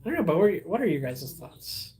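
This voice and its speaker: A young man, a Spanish speaker, hosting a casual livestream and chatting with viewers in a rambling, halting way full of fillers and restarts. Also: on the high side, sing-song, darkish tone. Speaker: livestream host.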